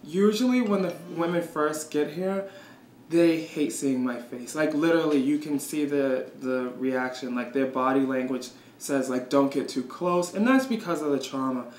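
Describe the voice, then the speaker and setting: A young man working at a shelter, answering interview questions casually and softly, with many "like" fillers. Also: a little high, normal speed, slight background noise. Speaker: shelter staff member. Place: small indoor office room. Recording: echoey room with faint ambience.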